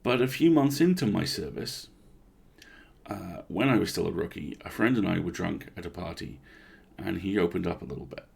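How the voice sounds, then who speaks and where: A man narrating a horror story in the first person, conversational and deliberate, with dramatic pauses. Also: low-pitched, animated, creaky, a little mellow, husky.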